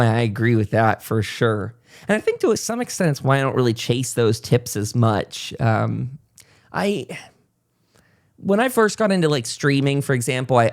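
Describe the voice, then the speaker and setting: A man's voice: mid-range voice, creaky, a little mellow. A man hosting a casual interview podcast, talking in a rambling, reflective way as he thinks aloud. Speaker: podcast host and content creator.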